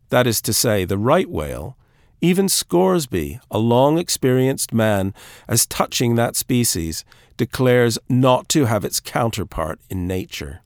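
Male audiobook narrator for classic literature: animated intonation, mid-pitched voice, close dry recording. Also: no room sound.

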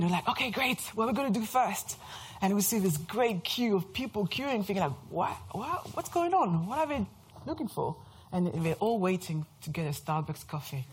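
A woman's voice: lowish voice, darkish tone, slight background noise. A French woman in her thirties with a French accent, giving a chatty, self-mocking talk to a live audience. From France. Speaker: speaker presenting to an audience. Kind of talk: lively presentation talk. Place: event room before a live audience.

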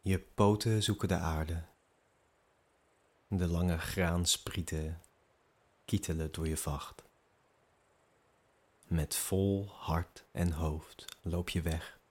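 A man's voice: deep voice, fairly even intonation, very slow, pauses often, darkish tone. A man narrating a scripted audio drama in the second person, in a dramatic, immersive manner. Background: music.

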